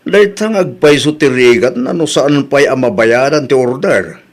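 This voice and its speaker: Guy doing a grumbly thrifty ilocano husband, mid-pitched voice, audio drama acting. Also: lively intonation, moderate pace. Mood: concerned.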